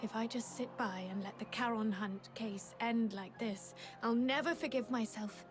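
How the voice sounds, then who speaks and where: An actress playing an earnest, resolute young legion officer in a video game, speaking in a solemn, heartfelt way. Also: female voice, fairly high pitch, moderate pace, over music, close to an everyday voice. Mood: pained, remorseful. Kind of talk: dramatic video game voice acting.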